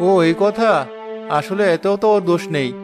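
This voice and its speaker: Man playing a high-voiced, placating henpecked husband. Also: normal speed, music in the background, lightly acted. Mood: mild protest. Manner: placating, mild, apologetic. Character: patient, henpecked village husband and gentle father. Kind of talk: Bengali cartoon drama acting.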